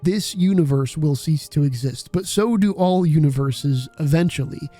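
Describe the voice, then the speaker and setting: A man's voice: fairly high pitch, moderate pace, dark tone, soft background music. Thoughtful young man narrating an essay. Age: 20s. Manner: earnest and contemplative. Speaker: video essayist. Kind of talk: reflective scripted narration. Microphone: voice-over.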